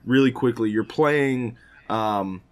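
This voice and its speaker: A man's voice: medium pitch, slow. A man chatting casually as a podcast co-host, often hedging and circling back as he talks. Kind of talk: casual chat about games.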